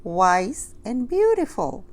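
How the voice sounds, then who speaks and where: A woman's voice: medium pitch, very animated, slow, a little mellow, voice pushed up. A woman voicing a bossy, vain witch in a children's storybook, with an imperious, commanding manner. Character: bossy, vain female sandwich witch, demanding and cackling.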